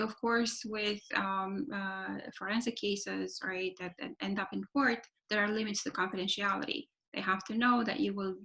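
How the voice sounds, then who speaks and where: A woman's voice: medium pitch, pushed and bright, rough recording. A woman giving a webinar talk over a video call, explaining things step by step with lots of "right?" and fillers. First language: Romanian. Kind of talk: webinar presentation.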